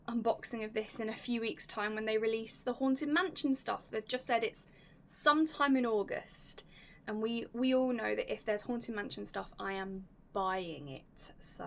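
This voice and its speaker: A young woman hosting a casual unboxing vlog, chatty and enthusiastic, her voice slightly raspy and going hoarse. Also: on the high side. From United Kingdom. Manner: chatty, enthusiastic, drops to soft whispers at times. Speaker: YouTube host.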